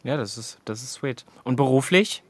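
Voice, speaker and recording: A man's voice: medium pitch, animated, normal speed, dry recording. A German man hosts a podcast in a playful, teasing way, chatting loosely with his guest. His voice sits in a middle range and sounds close and dry, with no room around it. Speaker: podcast host. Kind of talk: casual chat.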